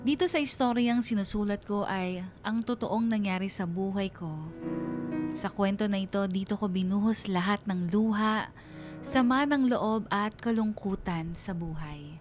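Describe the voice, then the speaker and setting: A woman's voice: mid-range voice, normal speed, lightly acted. A melancholy young woman writer played by an actress confides her life story for a radio drama. Her delivery is wistful and sentimental, in a middle register, with music underneath. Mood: wistful, sad. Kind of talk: radio drama letter narration.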